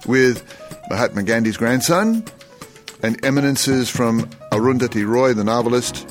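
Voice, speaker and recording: A man's voice: medium pitch, lively intonation, moderate pace. Male radio interviewer with a mid-range voice and a wordy, polished delivery, music playing faintly underneath. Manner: eloquent, wordy questioning. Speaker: radio host.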